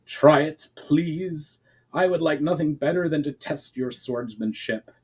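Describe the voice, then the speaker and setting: A man's voice: on the high side, a little slow, darkish tone, husky, voice pushed up. A man voicing a stern, authoritative knight commander with formal speech in a fantasy audiobook. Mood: furious, commanding. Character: stern, commanding male knight commander, protective of his city.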